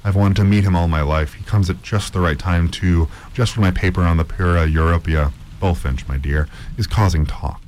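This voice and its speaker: A man voicing a vain, excitable ornithologist in a story reading, exclaiming and stammering when flustered. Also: sits low, normal speed, hardly any pauses, slight background noise, lightly acted. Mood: excited, awed. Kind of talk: acted dialogue in a storybook reading.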